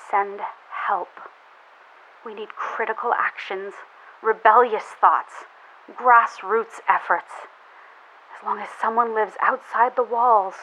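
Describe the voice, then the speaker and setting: A woman playing a weary, resolute enclave leader sending a grave, deliberate distress broadcast in an audio drama, filtered like a radio transmission. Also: mid-range voice, sharp tone. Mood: urgent appeal, then grave pleading. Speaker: community leader. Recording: dull radio transmission with hiss.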